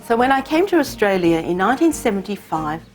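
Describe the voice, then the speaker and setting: A woman raised in Hong Kong whose first language is Cantonese, telling her family's story in measured, reflective scripted narration. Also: medium pitch, sing-song, faint music behind. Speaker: narrator of her own short film.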